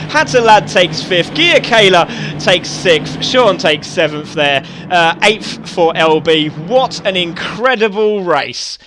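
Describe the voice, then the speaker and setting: A man giving excited live commentary on a sim race, often shouting and laughing. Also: sits high.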